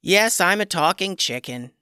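A man's voice: high voice, lively intonation, moderate pace, pushed and bright, clear, resonant tone, dry recording, clean studio sound, voice pushed up. Man putting on a wry talking chicken. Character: talking male chicken, awkward, dry joker.

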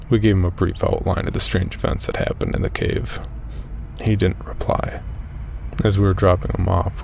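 A man with a gravelly voice reading a first-person horror story in a subdued, measured way. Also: low voice, darkish tone, a little hiss or hum.

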